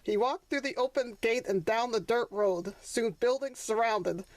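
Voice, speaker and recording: Casting: female storybook narrator, fairly high voice, close and dry, author-reading style. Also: a little slow, dry recording, low-quality recording. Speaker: author reading her children's book.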